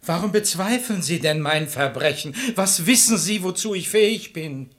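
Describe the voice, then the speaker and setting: A man's voice: a little high, lively intonation, moderate pace, fairly bright tone. A man playing a sanctimonious, lustful hypocrite who feigns humble piety, declaiming verse unctuously in a radio play. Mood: theatrical self-abasement. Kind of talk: German radio play of classical verse comedy.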